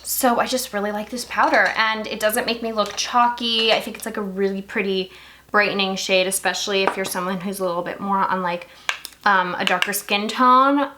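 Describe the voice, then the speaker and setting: A woman's voice: mid-range voice, fairly even intonation, normal speed, noisy background. A young woman from Singapore chatting casually while doing her makeup, filling her talk with lots of "like". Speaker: beauty vlogger.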